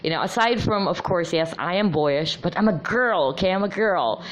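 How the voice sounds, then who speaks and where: Tagalog-native woman, super low deep voice, chatty w lots of ums. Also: normal speed, rough recording. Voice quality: low voice often taken for a man's. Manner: chatty, many ums and restarts. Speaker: vlogger. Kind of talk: casual monologue.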